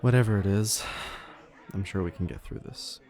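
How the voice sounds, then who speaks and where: A man playing a casual, slightly defensive younger helper in an audio drama, speaking a little hesitantly in a low, creaky voice. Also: moderate pace, darkish tone, some chatter behind. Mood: casual, uneasy. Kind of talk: audio roleplay acting.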